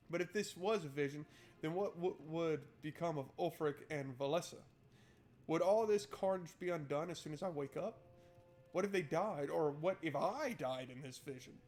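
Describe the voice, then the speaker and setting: A male gaming streamer with a slightly high voice reads story text aloud. He breaks off into wry, playful commentary in a casual way. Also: a little mellow. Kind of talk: let's play, reading aloud and joking commentary.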